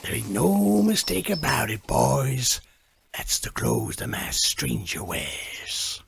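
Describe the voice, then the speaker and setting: A man's voice: mid-range voice, wildly swooping pitch, takes it slowly, creaky, a loud crowd, full character voice. A man playing a folksy, suspicious cowhand in a comic-book Western audio drama. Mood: accusing, eager. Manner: folksy cowboy speech. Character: folksy, suspicious cowhand eager for frontier justice. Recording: music behind the voice.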